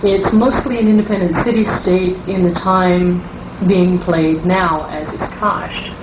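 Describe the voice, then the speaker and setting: A woman game designer explaining her invented world in a measured way during a group discussion. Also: fairly low pitch, steady tone. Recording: noisy roomy 1982 cassette tape.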